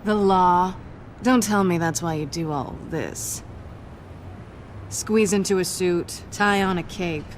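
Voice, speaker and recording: A woman's voice: lowish voice, takes it slowly, slight background noise. A woman playing a sly, taunting masked thief in a video game, with a cool, cocky, teasing manner. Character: sly, taunting adult female masked cat burglar.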